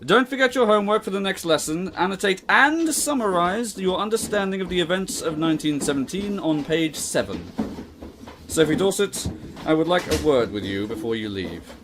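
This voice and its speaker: A man playing an unnerving, menacing history teacher, speaking formally and deliberately in an audio drama. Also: high-pitched, lively intonation, background noise. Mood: brisk, formal.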